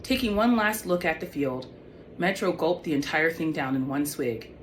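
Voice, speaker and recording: A woman's voice: low-pitched, lively intonation, normal speed. A woman author reading young adult fiction aloud in an audiobook style. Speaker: author reading own book. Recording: clearly noisy background.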